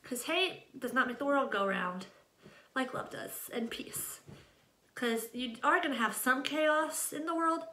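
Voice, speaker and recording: A woman's voice: high voice, unhurried, sharp tone. A nineteen-year-old American woman chatting casually to camera in a rambling way, often interrupting herself. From Ohio, United States. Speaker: channel host introducing herself. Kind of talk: casual vlog chat to camera. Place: small room at home, indoors. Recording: echoey untreated room.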